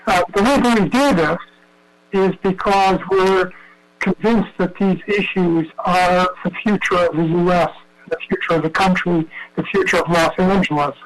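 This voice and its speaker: A male professor explaining his research in a measured way over a video call. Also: high voice, low-quality recording. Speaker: professor and invited expert.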